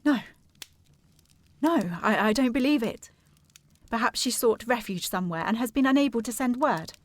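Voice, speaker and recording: An actress playing a formal, earnest and insistent gentlewoman, anxious about family, in a period audio drama. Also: fairly high pitch, moderate pace, close to an everyday voice. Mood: distressed disbelief. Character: proper, anxious, insistent gentlewoman seeking her sister.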